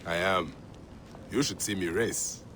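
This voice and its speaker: An actor playing a wry, cocky warrior companion in a video game cutscene. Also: male voice, deep voice, a little slow, a bit bright, a little hiss or hum.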